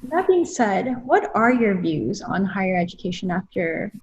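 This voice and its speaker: A woman's voice: medium pitch, very animated, moderate pace, dull audio. A woman hosting an interview show, reading a polished introduction and asking questions in an upbeat, emphatic way. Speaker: host.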